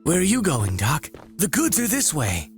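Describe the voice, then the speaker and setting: A man's voice: a little high, wildly swooping pitch, normal speed, soft background music. A man playing a sardonic, impatient villain crewmate in a cartoon dub, with a grudging, questioning manner.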